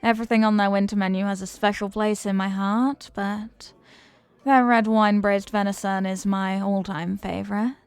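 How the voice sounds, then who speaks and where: A woman's voice: mid-range voice, flat delivery, normal speed, smooth, dry recording, faint music behind, lightly acted. A woman playing a flirty, confident, playful stranger in an intimate roleplay, with a teasing, coy, sweet-talking manner. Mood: chatty, charmed. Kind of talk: intimate roleplay audio acting.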